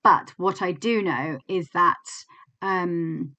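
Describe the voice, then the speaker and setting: A woman's voice: on the low side, slow pace, bright, forceful tone, highs cut off. An English woman in her twenties, a clinical psychologist, giving a candid, reflective teaching talk to camera with frequent fillers and restarts. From England.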